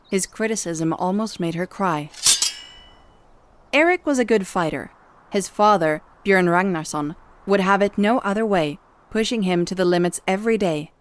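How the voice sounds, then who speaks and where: A woman reading a scripted story as the narrator of an audio drama. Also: mid-range voice. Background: music.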